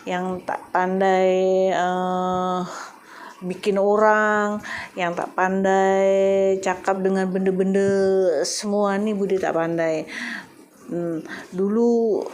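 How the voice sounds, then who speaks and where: A middle-aged woman from Indonesia with a Javanese accent, chatting casually to her viewers and pausing often with "eh". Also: medium pitch, darkish tone, slight background noise. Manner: hesitant, many filler "eh" pauses. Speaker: vlogger.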